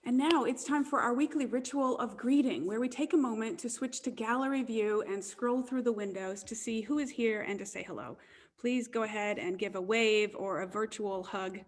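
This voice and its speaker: A woman minister leading an online church service, with a warm and gentle delivery. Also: on the high side. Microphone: video call.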